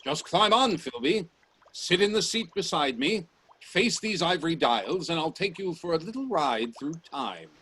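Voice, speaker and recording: An eccentric gentleman inventor in a radio play, with a fairly high male voice. He speaks formally and emphatically, utterly sure of himself and grandly insistent. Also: animated, normal speed, husky, heavily acted. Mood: brisk, commanding.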